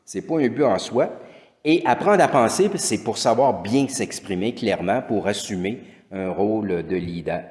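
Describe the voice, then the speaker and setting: A man's voice: lowish voice, lively intonation, moderate pace, darkish tone. A middle-aged man with a Québécois French accent gives a lecture as a teacher, rambling with frequent restarts and fillers. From Quebec. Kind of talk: conference lecture. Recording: echoey hall with long reverb.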